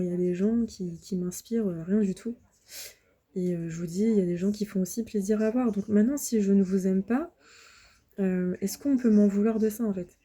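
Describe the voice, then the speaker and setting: A woman in her thirties from France, recording a halting, upset personal appeal to viewers. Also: medium pitch, warm tone, breathy edge. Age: 30s. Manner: halting, many hesitations and restarts; upset, defensive. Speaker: vlogger. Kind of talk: personal video appeal to the public.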